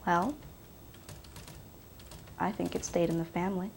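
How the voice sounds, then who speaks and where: A woman's voice: lowish voice, steady tone, unhurried, creaky, darkish tone. A woman acting as a defiant, bitter ex-girlfriend under police questioning, blunt and sardonic in a naturalistic film style. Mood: insinuating. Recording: faint background noise.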